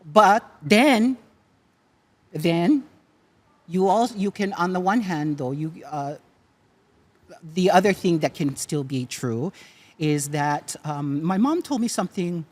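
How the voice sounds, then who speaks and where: Emphatic Pidgin-accented guest from Hawaii, teacherly, pitch swinging widely. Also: expressive, takes it slowly, pauses often. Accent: Hawaiian Pidgin English. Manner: emphatic, repeats phrases, teacherly. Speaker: guest, cultural practitioner. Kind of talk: casual conversation.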